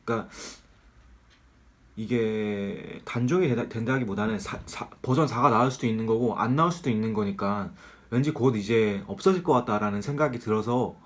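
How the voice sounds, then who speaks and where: A man's voice: fairly low pitch, normal speed. Man with a cold, nasal and chatty. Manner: chatty, candid, self-deprecating about money. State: has a cold, voice nasal and strained. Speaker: sneaker reviewer. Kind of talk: casual product review.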